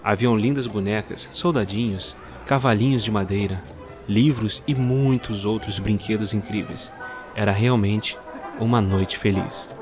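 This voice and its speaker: Guy narrating a story for an audio drama, measured storyteller voice, music underneath. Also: on the low side.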